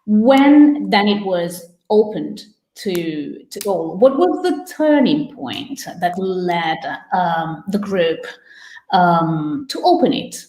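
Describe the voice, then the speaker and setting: A woman moderating a panel, asking questions hesitantly with many filler pauses. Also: fairly low pitch, animated, dark tone, roomy sound, rough recording.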